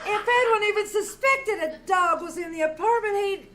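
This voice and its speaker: Actress as very high-pitched, gushing radio wife. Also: female voice, normal speed. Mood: regretful. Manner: cooing, exclamatory, melodramatic. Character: gushing, soft-hearted, excitable wife named Lily. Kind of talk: old-time radio comedy acting. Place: small theater stage, live performance. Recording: loud noise, live theater performance.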